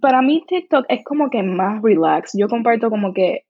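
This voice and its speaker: A young woman with a strong Puerto Rican accent, chatting casually as a guest in a relaxed, informal way with English words mixed in. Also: fairly high pitch, moderate pace, slightly rough, dry recording, highs cut off. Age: young adult. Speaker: social media creator, interview guest. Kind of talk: casual chat.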